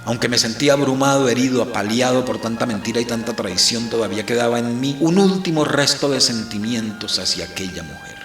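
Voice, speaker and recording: A man's voice: medium pitch, brisk, hardly any pauses, a bit bright, roomy sound, faint music behind, lightly acted. A man playing a bitter, brooding priest who narrates his own trial in an introspective, literary way. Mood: bitter, wounded. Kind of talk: first-person dramatized narration.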